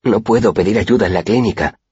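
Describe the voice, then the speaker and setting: A man's voice: deep voice, fairly quick, slightly rough, no room sound. A man voicing an earnest, polite and anxious young male student in audiobook dialogue, with dull, muffled sound. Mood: anxious, urgent.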